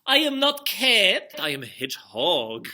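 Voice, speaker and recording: A woman's voice: fairly low pitch, wildly swooping pitch, a little slow, pushed and bright, soft background music, full character voice. A woman voicing a boastful, touchy male hedgehog puppet who speaks broken English without articles. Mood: offended.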